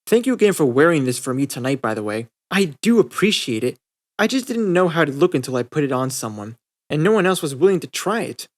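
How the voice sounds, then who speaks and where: A man's voice: on the high side, big pitch swings, quick pace. A man plays a refined young lady from an audiobook story. The voice is lifted a little, genteel and theatrical, with a fussy chattiness. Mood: fussy, gracious.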